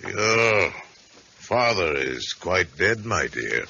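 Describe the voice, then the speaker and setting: An actor playing a grave, matter-of-fact town doctor, measured and formal, in a 1950s radio drama. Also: male voice, deep voice, sing-song, vocal fry, sharp tone, full character voice. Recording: dull, noisy 1950s radio recording.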